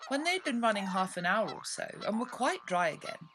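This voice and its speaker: A woman reading a classic children's story aloud in an expressive, scripted narration style. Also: mid-range voice, a little fast, background noise. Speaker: storybook reader.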